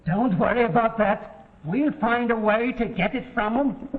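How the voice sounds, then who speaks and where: High-voiced scheming thief, noisy vintage sound. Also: male voice, a little slow. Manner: ominous, uneducated working-class dialect. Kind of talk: 1940s live television suspense drama acting. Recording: tinny, noisy old 1949 TV soundtrack.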